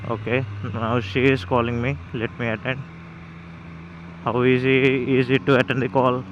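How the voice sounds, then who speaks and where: A man's voice: medium pitch, little pitch movement, normal speed, dull audio. Indian man vlogging casually over background noise. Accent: Indian, Telugu. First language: Telugu. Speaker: motovlogger reviewing gear. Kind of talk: casual unscripted vlog commentary.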